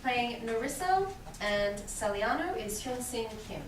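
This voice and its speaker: An echoey, distant recording of a woman with a fairly high voice and noticeable noise around her. She is the narrator of a play reading, announcing players and stage directions. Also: lively intonation, unhurried. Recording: echoey room, distant mic, clear noise.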